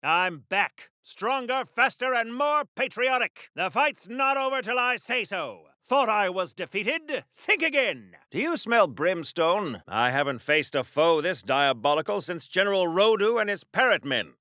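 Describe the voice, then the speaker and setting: An actor playing a cocky, melodramatic patriotic superhero from the 1940s, theatrical and corny like an old radio serial, for a video game. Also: male voice, on the high side, pushed and bright, no room sound, clean studio sound. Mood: defiant, melodramatic. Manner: theatrical, declamatory, corny wisecracking.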